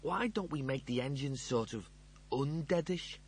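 A man's voice: mid-range voice, animated, a little slow, husky, pitched above the performer's own voice. A man voicing a grumpy, blunt and bewildered young man for an audiobook. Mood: suspicious, alarmed. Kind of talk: audiobook character voice.

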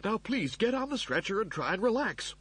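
A man's voice: sits high, sing-song, fairly quick, a bit bright, breathy edge, voice pushed up, heavily acted. A man voicing a male android servant in an audiobook, overly soothing and fussy while hiding panic. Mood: soothing, suppressed panic. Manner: overly soothing, euphemistic reassurance. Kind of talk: audiobook character voice.

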